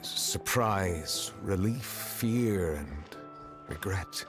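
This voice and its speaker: A man voicing a dignified, reserved chief justice of dragon nature in a video game, speaking formally and in measured phrases. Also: low-pitched, lively intonation, over music, exaggerated voice. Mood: solemn, regretful. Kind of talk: video game character dialogue.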